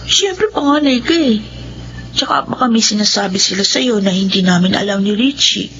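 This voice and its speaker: An actress playing a chatty, excitable young daughter with a Filipino accent in a radio drama. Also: female voice, medium pitch, normal speed. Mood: worried. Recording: muffled radio recording with faint noise.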